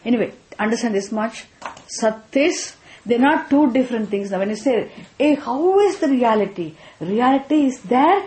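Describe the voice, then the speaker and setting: A woman's voice: fairly high pitch, animated, normal speed, a little mellow, reverberant, slight background noise. Tamil-accented Indian woman teaching Vedanta. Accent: Indian English, Tamil. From Tamil Nadu, India. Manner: checks with listeners often, repeats key phrases. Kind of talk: spiritual class talk.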